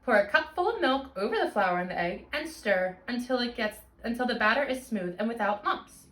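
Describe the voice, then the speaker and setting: A woman voicing a busy, practical storybook mother who gives firm, clear instructions, in a roomy recording. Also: fairly high pitch, expressive, a little fast, a bit bright.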